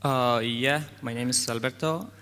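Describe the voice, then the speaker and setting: A man presents a game demo in careful, phrasing. His voice is bright and forceful, with long hall reverb around it. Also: mid-range voice, smooth. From Spain. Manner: non-native phrasing, halting. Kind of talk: conference tech presentation.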